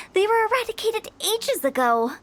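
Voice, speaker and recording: A spirited young funeral director in a video game. Her voice is high and girlish, breezy and self-assured, with a teasing theatrical streak. There is clear noise behind her. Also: full character voice. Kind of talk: English video game voice acting.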